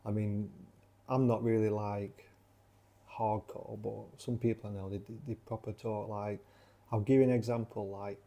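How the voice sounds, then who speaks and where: A man with a broad South Yorkshire accent chats in a loose, rambling way, stopping and restarting his sentences. His voice sits on the low side with a mellow, dark tone. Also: flat delivery, normal speed. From Sheffield, South Yorkshire. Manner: rambling with many fillers and restarts. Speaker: channel creator. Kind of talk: casual rambling chat.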